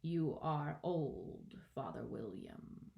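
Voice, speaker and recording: A woman voicing a languid, sleepy caterpillar in a children's storybook, curt and contemptuous, with breathy, drawn-out speech. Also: low-pitched, lively intonation, muffled recording, exaggerated voice. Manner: drawn-out, drowsy delivery with terse replies. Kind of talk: children's storybook read-aloud.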